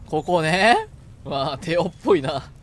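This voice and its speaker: Young man, high voice, lively swinging intonation, excitable gamer chatter, short repeated exclamations. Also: unhurried, slight background noise. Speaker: game streamer playing co-op. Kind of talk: casual live gaming commentary.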